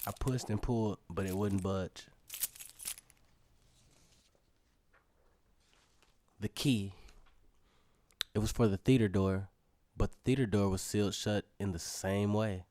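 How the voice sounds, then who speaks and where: A man's voice: on the low side, steady tone, normal speed, mellow, close to an everyday voice. A man playing a curious, adventurous young storyteller, narrating a scripted audio drama in the first person. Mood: focused, suspenseful.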